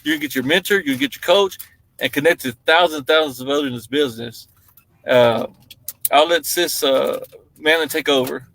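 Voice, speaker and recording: A man's voice: on the high side, fairly quick, gravelly, a bit bright, rough recording. A man enthusiastically praising the support the business gives, then handing over to a colleague. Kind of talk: casual group chat.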